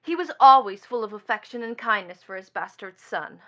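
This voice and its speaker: A woman's voice: mid-range voice, animated, fairly quick, bright, forceful tone. A woman playing a bitter, mocking young stepdaughter in a stage drama, with a taunting, theatrical delivery. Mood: insistent, scornful. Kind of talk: theatrical stage play acting.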